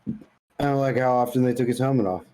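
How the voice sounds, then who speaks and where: A man with a mellow, darker tone chats as a podcast co-host. His delivery is casual and blunt, full of slang and swearing, like opinionated banter between friends. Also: medium pitch, fairly quick, poor audio.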